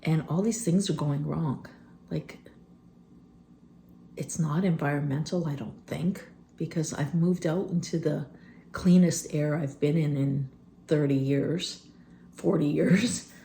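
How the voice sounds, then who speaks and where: A woman in her fifties talks to camera in a loose, rambling way, full of fillers and pauses. Her low, gravelly voice sounds phlegmy, and she keeps clearing her throat. A faint hiss sits under the recording. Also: fairly even intonation, warm tone. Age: 50s. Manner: rambling, candid, many fillers. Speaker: vlogger. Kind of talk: unscripted personal vlog. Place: rural homestead.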